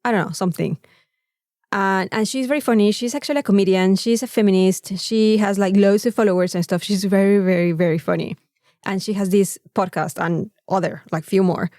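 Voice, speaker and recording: A woman's voice: medium pitch, brisk, darkish tone, dry recording, clean studio sound. A woman with a Mexican accent, co-hosting a casual podcast chat in an informal, rambling, sweary way. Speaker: podcast co-host.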